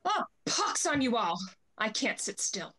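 A woman's voice: on the high side, big pitch swings, measured pace, pushed and bright, heavily acted. A young woman playing a jealous, imperious jilted lady in a Restoration comedy, melodramatic and haughty. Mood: outraged, jealous. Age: young adult. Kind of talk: theatrical period stage acting over video call. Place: indoor room at home, on video call.

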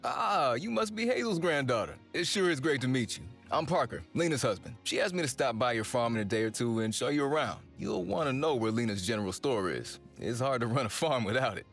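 Neighborly townsman, mid-pitched voice. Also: expressive, a little fast, creaky, few pauses, a bit bright, soft background music. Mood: friendly. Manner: welcoming, neighborly. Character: friendly, helpful townsman, easygoing husband.

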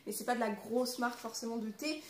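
High-pitched, chatty young French woman vlogger. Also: fast talker. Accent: French from France. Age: 20s. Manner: chatty, informal. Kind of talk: casual chat with reading aloud. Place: small living room at home. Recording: roomy echo, clear background noise.

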